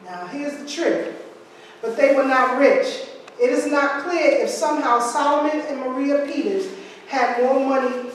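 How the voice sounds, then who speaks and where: Woman lecturer, high register, conversational asides; long hall reverb, distant camera mic. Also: slight background noise. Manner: asides and rhetorical questions to audience. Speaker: history speaker. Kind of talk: lecture reading from slides. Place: large room with hard walls. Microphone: far camera. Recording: echoey hall from far off.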